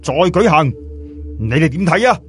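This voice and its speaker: Male reader as a polite boss hosting a contest, formal, projected higher voice, audiobook. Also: very animated, normal speed, fairly bright tone, breathy edge, soft background music, full character voice. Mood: courteous, announcing.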